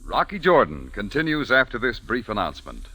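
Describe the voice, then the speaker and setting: A man's voice: medium pitch, huge pitch swings, brisk, loud music behind the voice. A man with an American accent announcing programs in polished 1940s network radio style. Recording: vintage 1940s radio broadcast.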